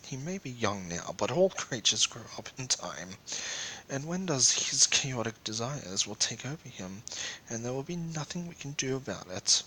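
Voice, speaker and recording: A man's voice: medium pitch, big pitch swings, moderate pace, pushed and bright, slight background noise, poor audio. A man voicing a stern, condescending royal pony mother in a story reading, scolding and imperious. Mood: frustrated.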